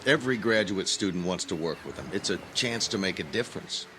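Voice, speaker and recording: An actor playing an earnest, cautious young male graduate student in an audio drama, speaking in a slightly halting way. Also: low-pitched, expressive, background noise. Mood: friendly, hesitant. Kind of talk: naturalistic audio drama acting. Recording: clear background ambience.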